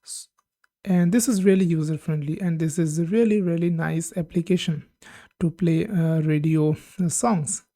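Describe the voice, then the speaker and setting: A woman hosting a tech channel, talking through a software demo on screen, with many "uh" pauses and simple phrasing. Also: on the low side, fairly even intonation, no echo, studio quality. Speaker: tech tutorial host. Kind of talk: screen-recorded software walkthrough.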